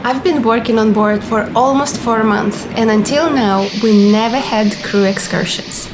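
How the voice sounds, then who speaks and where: A young woman reading scripted travel vlog narration in a clear, orderly, explanatory manner. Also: a little high, a little slow, background noise. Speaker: vlogger and cruise ship receptionist. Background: background music.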